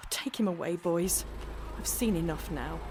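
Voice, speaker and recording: An actress playing a stern, commanding police detective in a radio drama, wounded by betrayal. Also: female voice, lowish voice, unhurried, background noise, natural voice. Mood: resigned, firm. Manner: commanding, accusatory.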